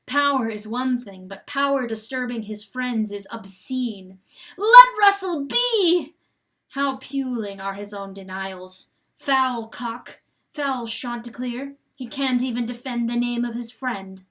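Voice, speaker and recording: A woman giving a dramatic, intense and deliberate storybook narration. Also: on the high side, fairly bright tone. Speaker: audiobook narrator.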